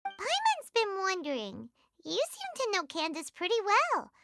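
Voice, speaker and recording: A woman's voice: very high voice, huge pitch swings, unhurried, background noise, heavily acted. A woman voicing a small, perky, childlike fairy companion in a video game, chirpy and inquisitive. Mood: cheerful, curious. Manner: chirpy, inquisitive, refers to self in third person.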